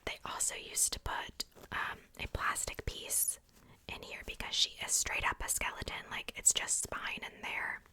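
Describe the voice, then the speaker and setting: Woman whispering bright, breathy ASMR. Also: measured pace. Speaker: ASMR video creator. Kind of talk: whispered ASMR, casual show-and-tell.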